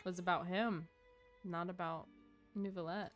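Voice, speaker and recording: A woman's voice: lowish voice, fairly even intonation, a little slow, faint music behind. A woman giving casual, opinionated live commentary as a game streamer, talking in slang.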